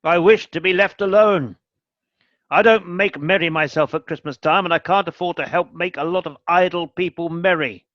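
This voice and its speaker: A man's voice: high-pitched, normal speed, dry recording, highs cut off, lightly acted. A man playing a cold, grumpy elderly miser in a radio play, curt and scornful, barking orders. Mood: cold, dismissive.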